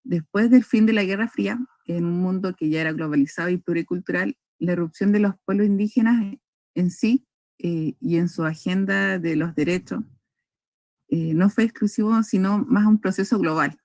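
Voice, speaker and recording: Dry, measured young woman speaker. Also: fairly low pitch, darkish tone, dry recording. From northern Chile. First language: Aymara. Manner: measured, with frequent hesitations and restarts. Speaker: invited speaker at a cultural forum. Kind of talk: formal talk.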